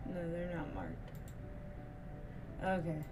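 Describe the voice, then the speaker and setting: A woman's voice: lowish voice, very animated, slow. A woman chatting casually as she live-streams a video game, reacting and talking to viewers. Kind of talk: casual live gaming commentary and reading aloud. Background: game music.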